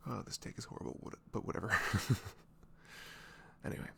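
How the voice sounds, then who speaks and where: A man's voice: fairly low pitch, creaky, bright, forceful tone, slight background noise. A man introducing his audio roleplay in a casual, self-deprecating, informal ASMR style.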